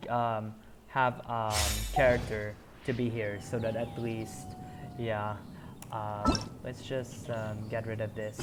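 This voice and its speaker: A man streaming a video game, chatting casually and haltingly with lots of ums and uhs. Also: medium pitch, background noise. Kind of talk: casual gameplay commentary. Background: game music.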